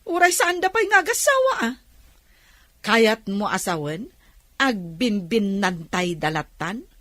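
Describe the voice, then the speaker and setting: A woman's voice: medium pitch, big pitch swings, measured pace, a bit bright, dry recording. An actress playing a nosy, nagging older woman in a comedic radio drama, scolding and pressing her points with emphatic questions. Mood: nagging, scandalized.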